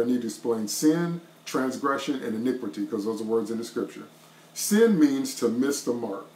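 A middle-aged man delivers an online sermon with an emphatic, repeating preacher's cadence. He speaks into a laptop webcam from a small wood-paneled room. The sound carries a roomy echo around his mid-pitched voice.

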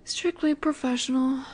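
High-voiced, flirty female mafia boss, acted by a woman. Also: darkish tone, exaggerated voice. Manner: coy, teasing, deliberate, sometimes breathy. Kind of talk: scripted audio roleplay, addressing the listener.

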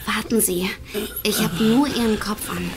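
An actress playing a composed young blind nurse with a soothing, deliberate way of talking and a hidden cold streak, in a German audio drama. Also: female voice, medium pitch, lightly acted. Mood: hushed, soothing. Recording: music behind the voice.

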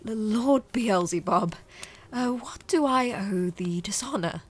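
A woman's voice: medium pitch, unhurried, close to an everyday voice. A woman voicing a sardonic, conflicted male demon in a comic dub, wry and hesitant, sometimes dropping to a whisper. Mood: nervous, evasive.

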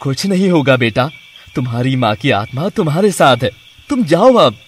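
A man's voice: medium pitch, very animated, normal speed, slight background noise, natural voice. A man voicing an earnest, persuasive, protective husband and father in a cartoon story. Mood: concerned, reassuring.

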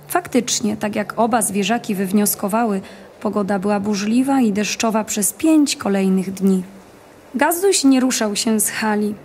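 A woman's voice: medium pitch, darkish tone, slight background noise. A woman narrating a scripted children's story for an audio play.

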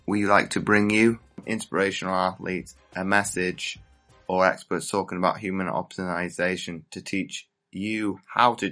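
Lower-voiced male podcast host. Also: a bit bright. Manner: chatty, rambling questions. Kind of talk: podcast interview.

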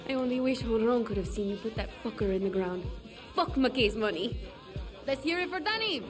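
A woman's voice: on the high side, lively intonation, moderate pace, over music, lightly acted. A woman playing a fierce, defiant young guerrilla fighter, blunt and emphatic, in a video game. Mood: triumphant. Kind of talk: dramatic game dialogue.

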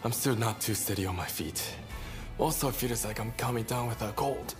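An actor playing an unwell, courteous young samurai in a video game, speaking softly and formally in a weak, subdued way. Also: male voice, medium pitch, a little fast, natural voice. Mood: weary regret. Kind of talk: video game cutscene acting. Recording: loud game music behind the voice.

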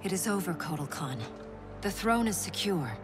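Low-voiced noble warrior princess played by a woman. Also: animated, normal speed, over music, lightly acted. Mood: resolute, regal. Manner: earnest, regal, formal. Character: noble, devoted warrior princess rising to rule.

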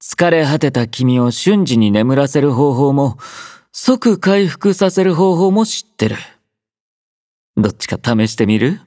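An actor playing a laid-back young male army doctor in a game, speaking in a relaxed, drowsy, gently teasing way. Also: medium pitch, a little slow, no echo, studio quality, natural voice. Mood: easygoing teasing. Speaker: game character voice lines. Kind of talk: game voice collection. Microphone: close studio mic.

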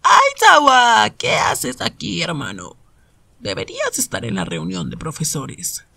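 A man acting an animated, raspy anime hero. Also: high voice, measured pace, no room sound, full character voice. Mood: exclamatory, coaxing. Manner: exclamatory, teasing, swinging from loud to hushed. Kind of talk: anime fan-dub ASMR roleplay.

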